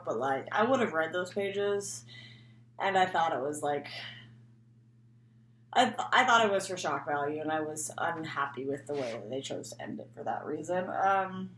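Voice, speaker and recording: A woman talking casually and unscripted as a book vlogger, rambling and often interrupting herself. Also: fairly low pitch. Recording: echoey room, faint background noise.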